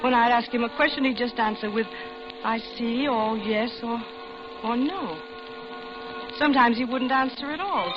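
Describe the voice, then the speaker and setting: An actress playing a lonely, polite middle-aged widow who talks on hesitantly, in 1940s radio drama style. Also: female voice, mid-range voice, close to an everyday voice. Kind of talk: 1940s radio acting, first-person narration and dialogue. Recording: muffled, very noisy old radio recording.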